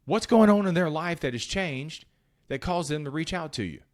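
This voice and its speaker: A man's voice: fairly high pitch, expressive, normal speed. A man hosting a motivational sales podcast, talking bluntly and emphatically in short, punchy phrases, with some profanity. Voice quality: powerful voice. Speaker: podcast host and sales coach. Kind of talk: motivational solo talk.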